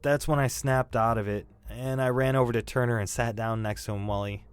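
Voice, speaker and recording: An actor playing a shaken young male robbery suspect in an audio drama, defensive and grieving, halting and stammering as he talks. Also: medium pitch, steady tone, clear, resonant tone, natural voice. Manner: halting, stammering, repeating himself. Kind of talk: naturalistic audio drama acting.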